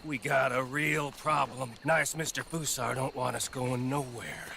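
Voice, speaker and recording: An actor playing a mocking, mean-spirited American outlaw gunman with a gravelly drawl in a western video game. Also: mid-range voice, steady tone, unhurried, sharp tone, slight background noise, exaggerated voice. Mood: alarmed, sardonic. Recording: music behind game dialogue.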